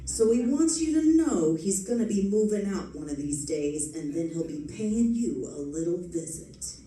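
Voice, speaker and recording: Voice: medium pitch, animated, normal speed, dark tone, lightly acted. A performer playing a menacing, slangy gangster's messenger in the style of 1940s radio drama. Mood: sly menace. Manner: slangy, tough-guy delivery. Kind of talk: live recreation of 1940s radio acting. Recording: roomy, distant, faint background noise.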